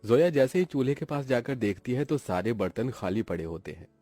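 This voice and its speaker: A man's voice: medium pitch, lively intonation, a little fast, dark tone, no room sound, faint music behind. A man narrating a cartoon family story in a dramatic scripted storytelling style.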